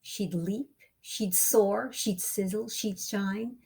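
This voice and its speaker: Slow, slightly husky woman reading to children. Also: mid-range voice, steady tone, mellow. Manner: deliberate, dramatic phrasing for young listeners. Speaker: storyteller reading a picture book aloud. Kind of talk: expressive children's read-aloud narration.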